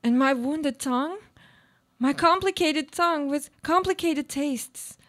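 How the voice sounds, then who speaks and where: A woman's voice: sits high, measured pace, a bit bright. A woman playing an anguished, obsessive housebound woman in a live radio play, with a theatrical, brooding delivery. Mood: desperate, anguished.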